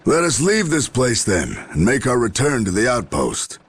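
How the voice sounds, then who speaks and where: An actor playing a gruff, proud human military general in a video game, speaking formally and sternly. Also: male voice, mid-range voice, huge pitch swings, moderate pace. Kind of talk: dramatic video game voice acting. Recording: music under the voice.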